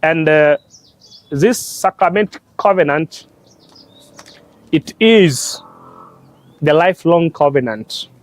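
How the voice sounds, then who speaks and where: Young Tanzanian-born religious brother, unhurried and hesitant, slightly high voice, recorded dry and close. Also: male voice, no room sound. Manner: slow, halting delivery in short phrases with frequent "uh". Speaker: religious brother and law student. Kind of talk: explaining church teaching on a talk show.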